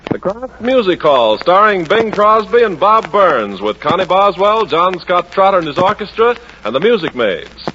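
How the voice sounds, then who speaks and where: A man's voice: sits high, sing-song, normal speed, hardly any pauses. A man announcing a 1940s radio variety show with showy, emphatic projection. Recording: dull vintage radio broadcast recording.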